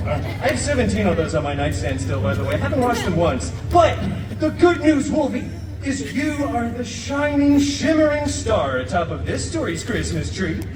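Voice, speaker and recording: An actor playing a wisecracking, self-mocking superhero storyteller in a live comic show, hammy and sarcastic, with exaggerated emphasis. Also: male voice, sits high, big pitch swings, moderate pace. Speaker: theme park stage show performer. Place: outdoor theme park stage. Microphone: amplified show, recorded from audience camera. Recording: roomy distant noisy outdoor show recording.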